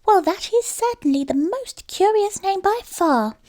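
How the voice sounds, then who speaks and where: A small female mouse from a storybook, acted out by a woman. The voice sits high and moves about in lively swoops. She is prim, trusting and full of questions, with a suspicious streak. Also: unhurried. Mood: puzzled, suspicious. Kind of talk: storybook character voice.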